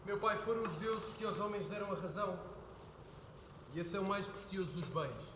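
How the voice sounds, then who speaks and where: A young man playing an earnest son who pleads with his father, building to heated shouting. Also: sits high, slight background noise. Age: young adult. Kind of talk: stage play performance. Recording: echoey hall with faint ambience.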